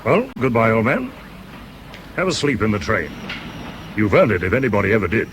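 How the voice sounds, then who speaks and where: Hearty British officer, swooping intonation. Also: male voice, on the low side, sing-song, a little fast, background noise. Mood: hearty, reassuring. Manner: breezy, encouraging, clipped old-chap speech. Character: hearty, kindly, unflappable British army officer. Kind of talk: British radio drama acting.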